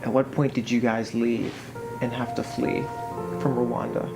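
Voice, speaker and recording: Gravelly guy interviewer, calm questions, sounds off-mic w music under. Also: medium pitch, unhurried.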